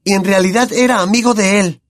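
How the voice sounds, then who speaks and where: A shaken teenage boy wizard who calls out loudly, his voice faltering, as a man voices him. He sounds raised and strained above the narration, with a close, dry sound. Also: sits high, brisk, dry recording, pitched above the performer's own voice, natural voice. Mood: betrayed. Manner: loud, with a faltering voice.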